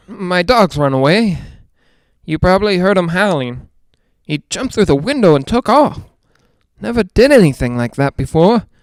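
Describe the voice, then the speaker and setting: A man playing an excitable, skeptical reporter in a 1940s-style radio drama, hesitant and stammering when rattled. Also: high-pitched, smooth, no echo, studio quality. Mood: uneasy. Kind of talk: 1940s radio drama acting, live on-the-scene commentary.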